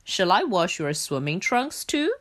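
A woman's voice: lowish voice, big pitch swings, measured pace, a bit bright, exaggerated voice. A woman doing a homely, busy pig.

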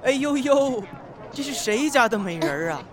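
Leering rich young lecher, high animated voice, period audio drama, bustling crowd behind. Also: male voice, normal speed. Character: lecherous, pampered young master harassing women. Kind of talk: Chinese period radio drama acting. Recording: busy street crowd behind.

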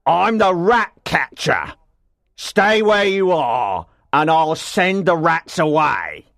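Guy doing an eerie rat catcher in an audiobook, creaky and slow, bossy calls, pitched up. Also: sing-song, a bit bright, no room sound, studio quality, heavily acted. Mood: commanding. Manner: drawn-out, urgent commanding calls. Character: commanding rat catcher, eerie working man underground.

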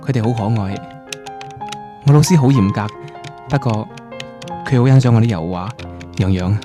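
A man's voice: medium pitch, moderate pace, lightly acted. An actor playing a dreamy young art student, reading his letter aloud in a radio drama with a Guangdong Cantonese accent. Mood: dreamy, tender. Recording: prominent music bed under voice.

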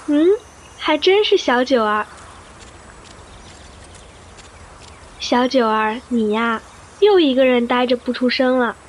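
A young princess acted by a woman, fussy and caring like an elder sister. She has a very high voice in audio drama style, over faint background noise. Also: animated, normal speed. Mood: fond exasperation. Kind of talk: Chinese audio drama acting.

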